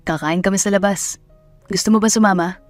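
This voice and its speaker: A woman's voice: medium pitch, steady tone, a little fast, dry recording, faint music behind, lightly acted. A woman voicing an earnest, guarded young man, a lover and father in a romance audio drama. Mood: matter-of-fact.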